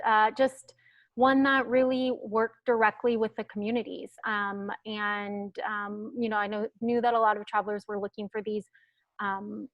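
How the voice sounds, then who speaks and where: Flat-voiced woman telling a story. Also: mid-range voice, dry recording. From United States, Pacific Northwest. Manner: thoughtful storytelling with many fillers. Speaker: guest speaker, travel company founder. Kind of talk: webinar talk.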